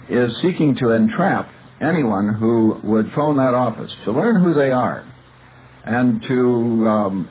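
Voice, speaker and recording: Low-voiced American man on tinny, noisy radio. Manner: halting, insistent, lecturing. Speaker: radio station owner and broadcaster. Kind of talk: talk radio commentary and phone questioning.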